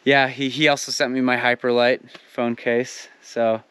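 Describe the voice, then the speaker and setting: A man vlogging casually on a hiking trip, chatting in a relaxed way with plenty of fillers, recorded outdoors at a campsite. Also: mid-range voice, moderate pace, clean tone. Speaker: vlogger, thru-hiker. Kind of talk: casual vlog chat. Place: outdoors at a mountain tent site.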